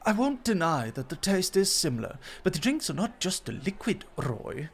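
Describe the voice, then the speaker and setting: Guy doing a flirty dog host guy for asmr roleplay, kinda high voice, suave n teasing, fancy polite wording. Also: sing-song, normal speed, slightly rough, heavily acted. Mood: teasing, chiding. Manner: polished, coy, formal phrasing.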